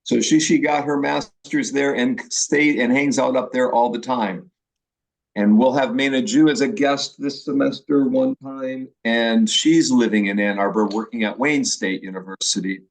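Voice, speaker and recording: A Midwestern man teaching a graduate class over a video call. He talks in a loose, chatty way, pausing and restarting as he goes. His voice sits at an ordinary middle pitch. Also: fairly even intonation, creaky, poor audio. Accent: Upper Midwest American. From Milwaukee, Wisconsin. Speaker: professor. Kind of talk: online class orientation.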